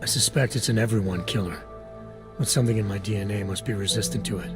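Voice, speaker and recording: An actor playing a stoic, guarded augmented agent in a video game, with a low gravelly voice and clipped, deadpan delivery. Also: male voice, fast talker, natural voice. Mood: grim, thoughtful. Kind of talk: video game cutscene acting. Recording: music under the voice.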